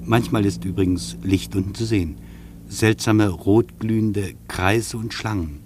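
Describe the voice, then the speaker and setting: An actor playing an observant air traveller in a German radio play, giving his impressions in measured, reflective phrases. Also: lowish voice, close to an everyday voice. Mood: pensive, watchful. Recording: steady engine-like hum in the background.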